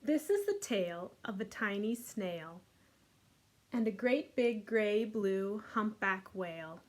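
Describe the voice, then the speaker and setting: A woman presenting a children's storytime, reading a picture book aloud in an expressive, deliberate manner. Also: mid-range voice, slight background noise.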